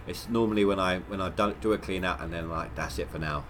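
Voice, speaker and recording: A man's voice: sits low, fast, a bit bright, slight background noise. A man with a head cold, sounding nasal, giving rambling, stop-start commentary on his gameplay livestream. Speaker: gaming livestreamer.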